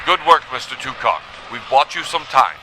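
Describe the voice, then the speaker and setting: A man's voice: mid-range voice, very animated, normal speed, lightly acted. Man playing a commanding starship captain, noisy background. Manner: commanding, clipped. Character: bold, brisk male starship captain calling his crew. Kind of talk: parody sci-fi audio drama.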